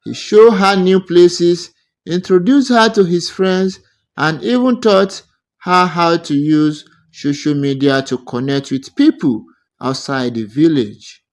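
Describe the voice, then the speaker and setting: A man narrating a scripted romantic folktale as a storyteller, in deliberate, measured short phrases. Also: a little high.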